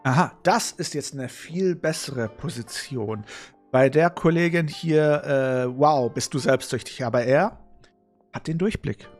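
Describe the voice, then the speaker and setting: A man's voice: mid-range voice, unhurried. Guy streaming a game, casual n blunt, medium voice, faint game music. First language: German. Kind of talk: casual gaming commentary.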